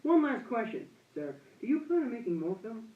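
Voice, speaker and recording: Man playing a high-pitched, gushing 1920s radio host. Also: very animated, a little fast, narrow, tinny audio. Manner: gushing, folksy, chuckling. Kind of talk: amateur 1920s radio broadcast acting. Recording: roomy, distant-miked amateur recording, thin sound.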